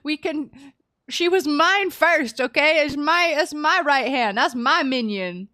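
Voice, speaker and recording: A woman's voice: high-pitched, animated, a little slow, dry recording, studio quality. A woman live streaming a game, chatting casually and playfully with cutesy slang. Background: soft background music.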